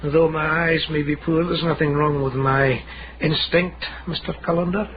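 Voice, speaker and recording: An actor playing a weary, kindly old Scottish coffin maker in a radio drama, with halting, wryly morbid talk. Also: male voice, on the high side, fairly even intonation, natural voice. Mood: amused. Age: older. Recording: dull, noisy radio drama recording.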